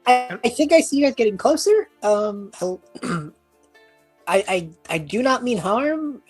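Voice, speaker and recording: A lost young half-elf man, played by a woman, speaks hesitantly in a husky voice in a tabletop game. He is polite, nervous and awkward, with faint music behind. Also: a little high, expressive, unhurried, a little mellow, voice pushed up. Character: lost, nervous college-age male half-elf traveller.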